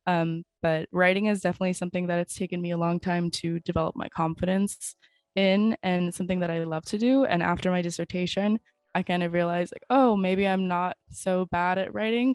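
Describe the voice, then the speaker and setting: A woman's voice: fairly low pitch, fairly even intonation, brisk, darkish tone, clean tone, no room sound. A young adult woman talking casually and reflectively as an interview guest. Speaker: interview guest, photographer and writer.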